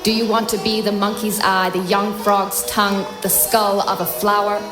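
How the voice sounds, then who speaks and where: A woman recites spoken-word poetry in a slow, measured, almost incantatory cadence. Her voice sits at an ordinary middle pitch. A clear music soundscape plays underneath her reading. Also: level tone. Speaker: poetry reader.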